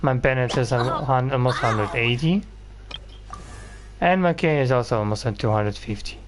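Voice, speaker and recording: A man's voice: mid-range voice, normal speed, background noise. A man giving casual, rambling gameplay commentary as he plays. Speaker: gaming video host. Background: video game music.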